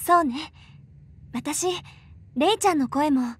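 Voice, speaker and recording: An actress playing a gentle, caring teenage heroine in an anime game, speaking earnestly. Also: female voice, sits high, slow pace, slight background noise. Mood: worried. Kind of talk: Japanese anime game voice acting.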